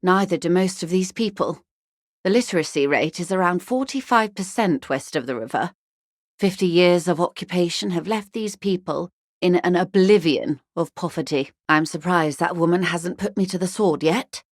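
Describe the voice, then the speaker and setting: A refined middle-aged woman of the corporate upper class , acted by a woman for a video game. Her voice sits fairly low and sounds close and dry. She is composed and diplomatic, choosing formal words with a faint irony. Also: moderate pace, dry recording, close to an everyday voice. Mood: grave, reflective. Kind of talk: video game character dialogue.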